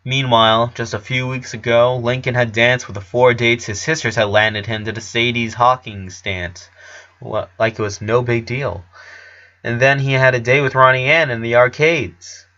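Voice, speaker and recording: Bright-voiced man narrating a story. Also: mid-range voice, flat delivery, normal speed, highs cut off. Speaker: narrator of a fan fiction story.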